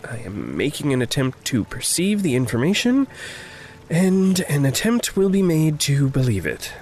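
An actor playing an evasive, dramatic man in distress in an audio drama, with stilted formal phrasing that turns halting. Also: on the high side, sing-song, darkish tone, faint music behind. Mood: guarded, deadpan. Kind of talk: fiction podcast acting, naturalistic dialogue.

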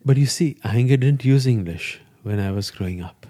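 A man from India with an Indian accent, whose first language is Gujarati, speaking calmly in a casual conversation as a yoga teacher. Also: medium pitch, moderate pace, husky. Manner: calm, with short affirming replies.

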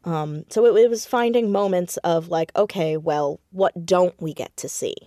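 A woman with a slightly low voice talks casually as a creative guest in an interview. She tells things in an animated, self-deprecating way and leans on key words. The sound is dry, with very little room in it. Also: normal speed, dry recording. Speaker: podcast guest, audio drama writer and creator. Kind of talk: casual interview chat about own writing.

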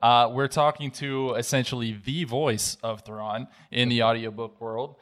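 A man's voice: medium pitch, fairly even intonation, unhurried, fairly bright tone. A man hosting a casual interview podcast, leading into questions conversationally. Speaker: podcast host.

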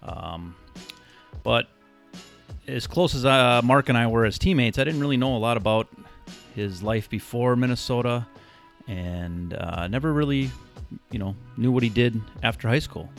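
A man's voice: medium pitch, animated, moderate pace, creaky, faint music behind. A man hosting a podcast in a casual, earnest, conversational way. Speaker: podcast host.

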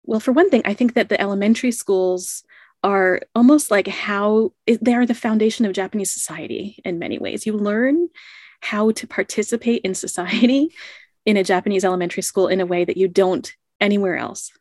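A woman's voice: mid-range voice, brisk, a little mellow, dry recording. Woman, conversational storyteller, mid-range voice, dry close mic, laughs a lot. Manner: reflective storyteller, laughs often. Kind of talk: casual conversation. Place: lives in Japan.

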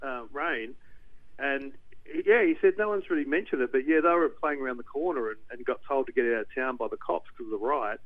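A middle-aged man with an Australian accent, from Newcastle, talking as an interview guest, animated and rambling with restarts. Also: medium pitch, a little fast. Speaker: guest, documentary director. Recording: tinny phone line.

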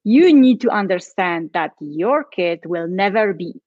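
A woman's voice: lowish voice, expressive, measured pace, dry recording, highs cut off. A woman from Poland with a Polish accent, a coach who tells her own story earnestly and with feeling in a podcast chat. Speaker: guest, change and transition coach.

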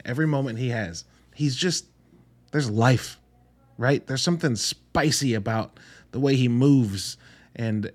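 A man's voice: medium pitch, husky. A man hosting a casual solo review podcast, talking informally and earnestly, often interrupting himself and rambling with emphasis. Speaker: podcast host reviewing films.

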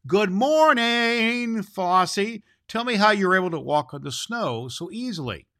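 A man's voice: high-pitched, lively intonation, unhurried, pushed and bright, pitched above the performer's own voice, exaggerated voice. A man voicing a kindly, merry storybook toymaker with a thoughtful, hearty manner. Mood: cheerful greeting.

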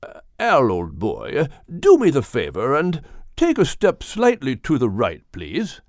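A man voicing a huge, courteous, genteel male whale in a children's audiobook, speaking in a polite, hushed way. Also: medium pitch, wildly swooping pitch, a little slow, dry recording, clean studio sound. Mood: discreet, polite. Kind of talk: audiobook character voice.

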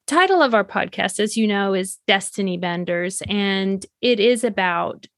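A woman hosting a podcast, affirming her guest and asking measured questions. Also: mid-range voice, animated, a bit bright, dry recording, studio quality. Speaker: podcast host. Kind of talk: interview questions.

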